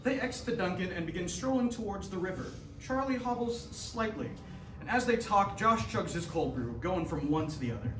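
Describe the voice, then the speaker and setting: A man's voice: high-pitched, lively intonation, brisk, noisy background. A man reading stage directions aloud as scripted narration at a staged reading. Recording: roomy, distant stage recording.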